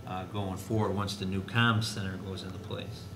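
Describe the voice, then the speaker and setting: Man, administrator at a public meeting, low-pitched, halting delivery, noisy roomy recording. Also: level tone. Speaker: school district administrator reporting to board. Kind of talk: school board meeting discussion. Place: indoor board meeting room. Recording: noisy, echoey meeting room with low rumble.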